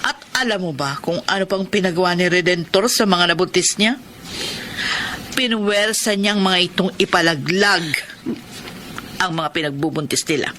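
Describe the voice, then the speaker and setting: A woman's voice: fairly low pitch, moderate pace, fairly bright tone, close to an everyday voice. A woman playing a stern, protective middle-aged aunt in a radio drama, grave and insistent, with a Filipino accent. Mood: stern, accusing. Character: Tita Lia, stern protective meddling aunt. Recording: noisy background, some music.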